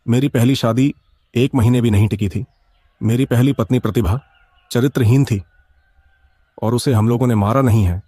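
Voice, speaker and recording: A man's voice: mid-range voice, normal speed, long pauses, mellow, breathy edge, no room sound, lightly acted. A man voicing a hot-tempered, deceitful young husband in a cartoon story. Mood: earnest, explaining.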